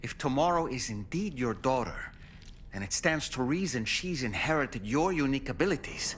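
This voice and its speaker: An actor playing an inquisitive, analytical companion in a video game, musing in a theatrical, expository way. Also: male voice, mid-range voice, animated, normal speed, fairly bright tone, slight background noise, close to an everyday voice. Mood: pondering. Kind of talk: video game cutscene dialogue.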